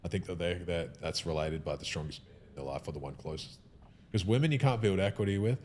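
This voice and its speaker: A man's voice: deep voice, fast talker, darkish tone, slight background noise. A man talking in a casual podcast conversation, opinionated and emphatic, often breaking off and restarting his sentences.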